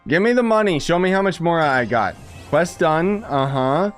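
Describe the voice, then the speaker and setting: A man's voice: fairly high pitch, lively intonation, normal speed, faint music behind. A man gives casual, blunt, grumbling commentary as a gaming streamer playing through a game.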